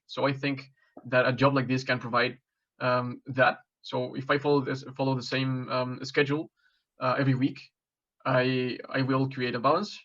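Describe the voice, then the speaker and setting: Hesitant young Mexican-born man, flat delivery. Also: mid-range voice. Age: 20s. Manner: hesitant, many fillers and restarts. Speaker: job applicant. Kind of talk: mock job interview answers. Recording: slightly dull lo-fi audio.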